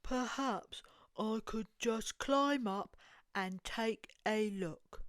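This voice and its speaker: A woman's voice: a little high, extremely slow, no room sound, studio quality, pitched below the performer's own voice. A woman with an English accent voicing a brave, friendly young female bear in a storybook, speaking plainly. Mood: curious.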